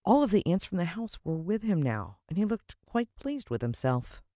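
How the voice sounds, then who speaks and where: Female American narrator for children's fiction: expressive, suspenseful storyteller, dry sound. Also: on the low side, normal speed, no room sound. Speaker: audiobook narrator.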